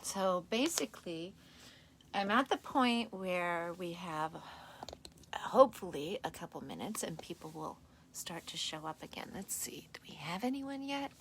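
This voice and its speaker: A woman teaching a live sewing tutorial in a chatty, hands-on way, her voice slightly raspy and tired as she works. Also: on the low side, expressive, unhurried, a bit bright, slight background noise. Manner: chatty, talks while working, restarts often. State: tired, a little hoarse. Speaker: sewing instructor.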